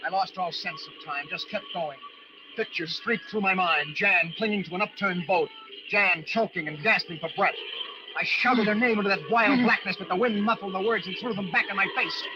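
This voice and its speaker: High-voiced, intense lighthouse keeper played by a man, old radio. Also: moderate pace, very noisy, natural voice. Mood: tense, urgent. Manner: breathless, intense, dramatic storytelling. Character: desperate male lighthouse keeper narrating a storm ordeal. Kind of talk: old-time radio drama acting. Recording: muffled old radio recording with dramatic music.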